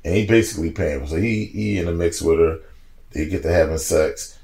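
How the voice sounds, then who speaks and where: A man in his forties, a podcast guest, telling stories in a casual, slangy, animated way and laughing as he goes. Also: low-pitched, a little fast, creaky. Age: 40s. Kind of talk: casual storytelling chat.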